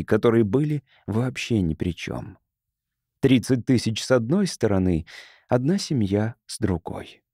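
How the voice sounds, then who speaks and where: A man's voice: medium pitch, mellow, no echo, studio quality. A man reading a science fiction story as a scripted audiobook narration.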